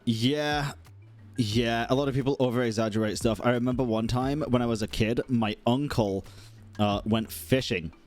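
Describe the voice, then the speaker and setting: A man's voice: mid-range voice, normal speed, darkish tone. A man streaming a game and reacting with casual, rambling, slangy commentary. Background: game music.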